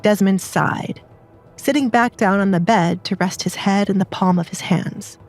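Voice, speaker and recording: A woman's voice: mid-range voice, unhurried, soft background music. A woman narrator reading a scripted dramatized story.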